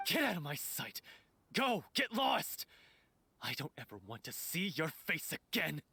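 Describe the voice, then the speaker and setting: A grieving, hot-headed lover in a game drama. The voice is forceful and rough-edged with lively pitch, confrontational and bitterly accusing. It sits close and dry. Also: male voice, measured pace, no echo, exaggerated voice. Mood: cold fury. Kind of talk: dramatic voice acting.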